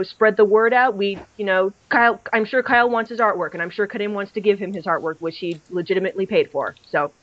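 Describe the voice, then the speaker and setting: A woman's voice: mid-range voice, no room sound, lo-fi sound. A woman hosting a casual fan podcast, chatty and enthusiastic, often restarting her sentences. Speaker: podcast host.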